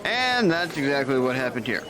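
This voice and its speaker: A man's voice: mid-range voice, sing-song, normal speed, background noise, natural voice. A man playing a burned-out senior hospital nurse who mentors new staff with sarcastic grumbling. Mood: weary sarcastic. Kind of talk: audio drama acting.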